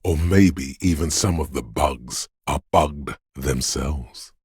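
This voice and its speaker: Menacing inner voice of fear, extremely deep, very husky, paranoid, intense, video game narration. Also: male voice, darkish tone, no room sound, clean studio sound, heavily acted. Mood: ominous, paranoid. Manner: ominous, deliberate, intense. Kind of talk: scripted game voice acting, second-person narration.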